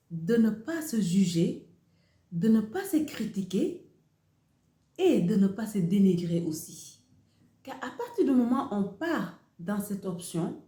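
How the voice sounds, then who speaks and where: Slow-speaking woman, echoey room. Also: mid-range voice, mellow. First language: Wolof. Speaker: host of a motivational channel. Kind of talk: motivational talk to camera.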